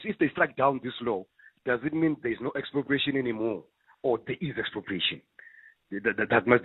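A man's voice: medium pitch, husky, dry recording. A man calling in to a radio show, talking animatedly and repeating words as he pushes his point. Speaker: radio caller. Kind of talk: call-in radio discussion. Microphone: telephone. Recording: tinny phone line.

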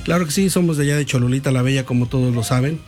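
A man's voice: mid-range voice, racing, a little mellow, faint music behind. A middle-aged man from Puebla with a Mexican accent, a humble and chatty band leader talking about his group.

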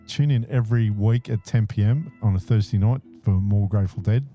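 A man's voice: on the low side, fairly quick, faint music behind. A male radio DJ, chatting easily between songs with lots of uh and um fillers. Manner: easygoing, frequent uh and um fillers. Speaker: radio host. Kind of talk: casual radio DJ patter. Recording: dull lo-fi radio broadcast.

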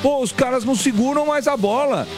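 Male Brazilian football radio host, high voice, emphatic and colloquial, opinionated chat over a clear music bed. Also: brisk. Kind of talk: opinionated sports commentary and chat. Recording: music bed under broadcast voice.

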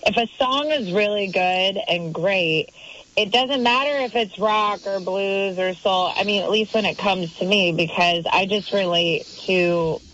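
A woman's voice: medium pitch, a little slow, fairly bright tone, slight background noise. A female singer calling in by phone, chatty and upbeat, laughing easily. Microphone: phone line. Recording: dull phone line.

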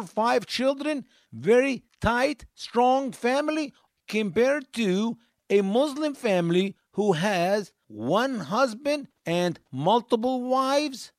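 A man's voice: sits high, no echo, clean studio sound. A man from Egypt, giving an emphatic monologue, posing rhetorical questions and answering them himself. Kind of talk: monologue commentary.

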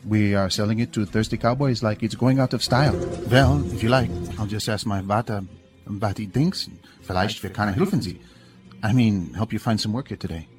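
A man's voice: fairly low pitch, a little fast, soft background music, natural voice. A man voicing a friendly young German immigrant woman who speaks slightly broken English with a German accent in a story. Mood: friendly, chatty. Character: young German immigrant woman, friendly, startled, church-going bank teller.

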